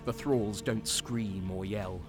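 A man playing a cold, sadistic soldier who narrates a dark war story with dramatic deliberation. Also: lowish voice, fairly even intonation, takes it slowly, over music. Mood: grim, detached. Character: cold-hearted, sadistic young male soldier turned traitor. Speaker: narrator and lead character.

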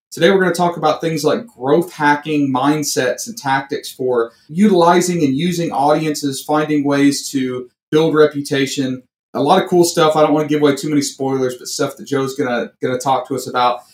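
A man hosting a podcast, introducing his guest with a friendly, joking manner. His fairly high voice sounds roomy and distant, as if he sits back from the mic in a makeshift studio. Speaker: podcast host. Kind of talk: casual interview hosting. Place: backup studio. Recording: echoey room, mic at a distance.

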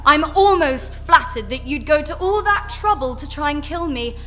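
A woman's voice: high voice, lively intonation, moderate pace, bright, forceful tone. A woman voicing a sardonic, unimpressed adventurous heroine in a radio play, talking in a dry, mocking way.

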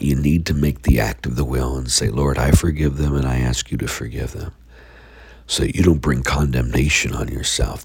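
A man leading scripture readings and a short homily as a priest, sounding stuffy from a sinus infection. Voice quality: gravelly, breathy low voice.

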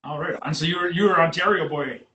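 A man hosts a casual podcast chat in a fairly high voice, upbeat and quick with his questions. His voice carries a roomy echo. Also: pushed and bright. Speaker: podcast host.

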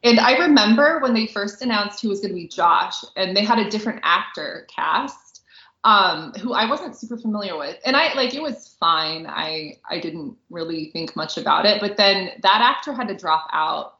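A woman's voice: mid-range voice, expressive, moderate pace, bright, forceful tone. A woman co-hosting a podcast in casual chat, enthusiastic and agreeable. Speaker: podcast co-host, romance novelist. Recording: roomy, muffled lo-fi audio.

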